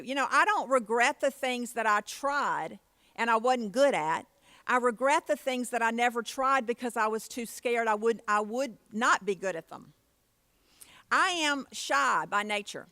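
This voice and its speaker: A woman's voice: a little high, sharp tone, dry recording. A woman giving a motivational conference keynote with personal stories, in a plain-spoken, folksy way. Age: 50s. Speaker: keynote speaker.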